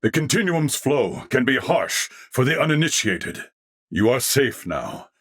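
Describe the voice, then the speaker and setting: A man voicing a courteous, earnest mechanical alien life form in a video game, speaking formally and with measured, polite precision in a husky voice. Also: medium pitch, big pitch swings, fairly bright tone, no echo, clean studio sound. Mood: concerned, gentle. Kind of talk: video game character dialogue.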